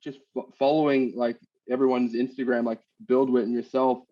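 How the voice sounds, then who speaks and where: A man born in Australia who picked up an American accent, talking easily on a call and often pausing with ums while he describes his trade work. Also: medium pitch, muffled recording. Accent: American-tinged Australian. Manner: relaxed, hesitant with many ums and uhs. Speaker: guest, machine demonstrator and trainer. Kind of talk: casual interview over a call.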